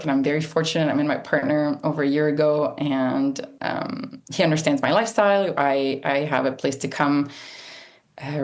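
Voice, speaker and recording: Low-pitched woman, native French speaker. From Canada. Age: 30s. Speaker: guest, outdoor travel guide. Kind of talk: conversational interview answers.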